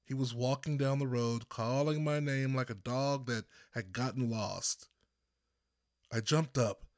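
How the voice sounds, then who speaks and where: A man from Texas narrates a first-person story in a mid-pitched voice. He reads slowly and deliberately, leaving pauses between phrases. The recording is dry, with hardly any room sound. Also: steady tone, no echo. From Texas, United States. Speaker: author reading own audiobook.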